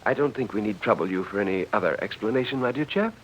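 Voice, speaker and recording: An actor playing a smooth, condescending gentleman with a measured, patronising manner in a 1940s radio drama. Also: fairly low pitch, lively intonation. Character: smooth, condescending gentleman defending exclusion of outsiders. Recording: dull old radio recording.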